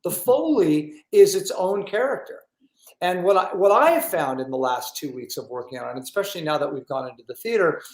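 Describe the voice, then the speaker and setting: A man with a slightly high voice hosts a chat show. He speaks effusively and leans on his words with emphasis. The sound is roomy and echoey. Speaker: talk show host. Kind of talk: enthusiastic interview chat.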